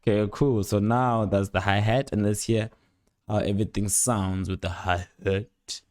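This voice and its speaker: A young man giving a casual, unscripted music production tutorial, rambling playfully in slangy speech with excited interjections. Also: lowish voice, measured pace, a little hiss or hum. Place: indoor home studio at a computer. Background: loud instrumental beat and instrument sounds playing.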